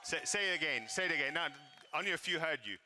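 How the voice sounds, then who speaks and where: Bright-voiced man with a French accent. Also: on the high side, expressive, fast talker. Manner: emphatic, repeats words for stress, playful with the crowd. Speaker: co-presenter at a business event. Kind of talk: live stage presentation.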